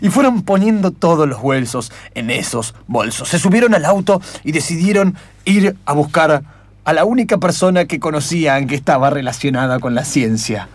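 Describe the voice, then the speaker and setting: A man's voice: on the high side, animated, moderate pace, no room sound. A man narrating an improvised radio play with theatrical, suspenseful storytelling.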